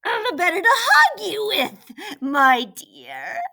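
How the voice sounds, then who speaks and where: A woman's voice: very high voice, wildly swooping pitch, slow pace, gravelly, sharp tone, voice pushed up, exaggerated voice. A woman voicing a wicked storybook wolf who poses as a sweet old granny, syrupy and menacing as the words stretch out. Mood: fake sweetness.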